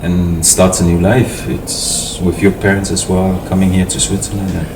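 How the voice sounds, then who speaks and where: Very low-voiced Samoan man, distant and roomy. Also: flat delivery, moderate pace. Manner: thoughtful, hesitant with fillers and restarts. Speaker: interviewee, family host. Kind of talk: casual reflective conversation. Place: home in a city. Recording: roomy, distant mic with noticeable noise.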